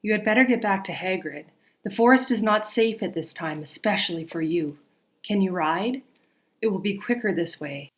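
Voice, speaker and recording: A woman voicing a young, solemn and protective female centaur who speaks in a grave, measured way in a storybook reading. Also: mid-range voice, animated, lightly acted.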